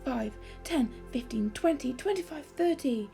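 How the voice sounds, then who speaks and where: A woman storyteller giving a calming, expressive, measured reading of a children's fairy story. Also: sits high, vocal fry, faint music behind.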